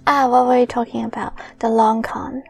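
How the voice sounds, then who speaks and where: A soft-spoken young woman whose first language is Chinese, co-hosting a casual podcast chat and laughing often. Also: fairly high pitch, little pitch movement, normal speed, creaky, husky, soft background music. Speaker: podcast co-host.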